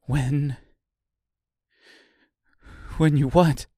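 A man's voice: on the high side, steady tone, extremely slow, warm tone, breathy edge, no room sound, clean studio sound, voice pushed up, full character voice. A man voicing a distraught seamstress, a grieving wife who cries out emotionally in a storybook reading. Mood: anxious. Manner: emotional, crying out.

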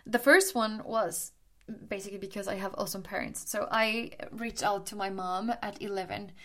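A woman's voice: mid-range voice, fairly even intonation, normal speed, fairly bright tone. Colombian-born woman telling her life story. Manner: chatty, frequent restarts and fillers, laughs while telling. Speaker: podcast host. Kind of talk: personal storytelling monologue.

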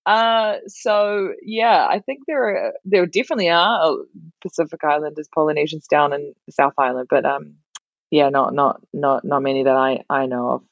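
A young woman with a New Zealand accent talks casually as a podcast guest, laughing a lot and starting sentences over. Her voice sits low. The recording is dry and slightly dull. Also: fairly even intonation, moderate pace, no echo. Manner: chatty, laughs often, many restarts. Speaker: guest, comedian.

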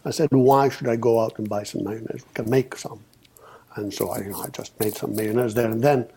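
A man in his fifties from Greece, a podcast guest telling his story with many pauses and restarts. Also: mid-range voice, fairly even intonation, vocal fry, dark tone, a little hiss or hum. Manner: hesitant, many uhs and restarts. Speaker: podcast guest, company founder. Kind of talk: casual storytelling interview.

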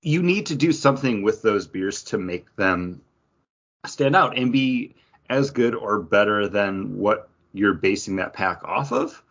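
A man's voice: on the low side, expressive, measured pace, a bit bright, lo-fi sound. A man co-hosting a podcast, chatting casually as he reviews drinks, hesitant and correcting himself as he goes. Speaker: podcast co-host. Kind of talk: casual beer review chat.

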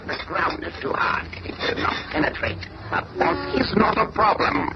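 Imperious high-pitched alien conqueror. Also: male voice, sing-song, normal speed. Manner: bombastic, declamatory, imperious. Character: boastful shape-shifting male alien warrior, imperious and menacing. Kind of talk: superhero radio drama acting. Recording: muffled old radio broadcast, heavy noise.

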